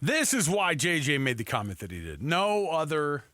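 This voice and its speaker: A man's voice: fairly high pitch, very animated, normal speed, music in the background. A man hosting a casual sports talk radio show.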